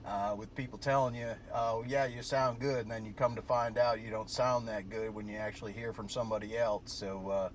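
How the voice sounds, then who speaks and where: A man's voice: fairly low pitch, level tone, brisk, few pauses. A man talking casually as a radio operator, rambling through jargon, recorded on a cell phone. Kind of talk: casual radio contact chat. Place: vehicle parked at night. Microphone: cell phone held near the radio. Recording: cell phone video with clear background noise.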